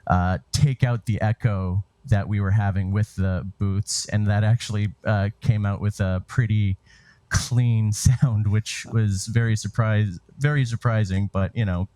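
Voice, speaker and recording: Male guest, low relaxed voice, informal and hesitant, conversational answers. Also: a little slow, no room sound. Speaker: interview guest, theatre director and writer. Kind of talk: casual conversational interview answers.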